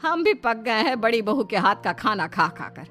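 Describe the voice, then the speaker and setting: Woman acting lively older village mother-in-law. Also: a little high, moderate pace, faint music behind. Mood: teasing. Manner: emphatic, teasing. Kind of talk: Hindi cartoon story character acting.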